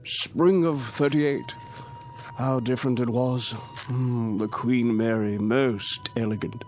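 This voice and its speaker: An actor playing a hearty, courtly military officer in a radio drama, genial and old-fashioned in manner. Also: male voice, mid-range voice, steady tone, slow, darkish tone, a little hiss or hum, natural voice. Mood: hearty, reminiscing.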